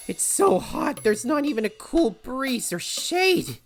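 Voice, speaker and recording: A woman's voice: on the high side, wildly swooping pitch, takes it slowly, soft background music, full character voice. A woman voicing a plucky, adventurous male animal hero in an audio drama. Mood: determined. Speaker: lead actor and story narrator. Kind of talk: audio drama acting and narration.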